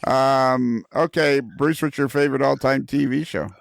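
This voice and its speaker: A man's voice: mid-range voice, fairly even intonation, moderate pace, creaky, dry recording. A man hosting a casual podcast chat, who asks his guests questions and laughs often. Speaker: podcast host.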